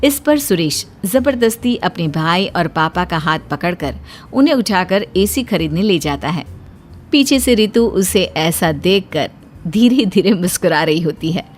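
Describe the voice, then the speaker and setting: A woman's voice: on the low side, expressive, a little slow, a little hiss or hum. A woman reading a scripted story in a dramatic storytelling style. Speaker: story narrator. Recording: music bed under the voice. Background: background music.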